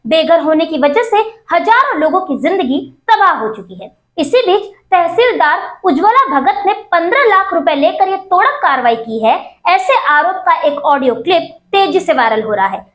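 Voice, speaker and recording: High-pitched emphatic woman news presenter, echoey room. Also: lively intonation, moderate pace, few pauses. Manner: emphatic, accusatory, rhetorical. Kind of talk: scripted news commentary. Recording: roomy echo on the voice.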